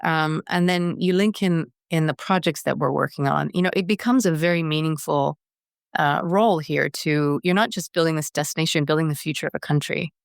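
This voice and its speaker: Woman from Canada, middle-aged, smooth clear voice, dry close sound, earnest, restarts phrases. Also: low-pitched, a little fast, no echo. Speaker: guest, wellness strategy director. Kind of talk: conversational interview.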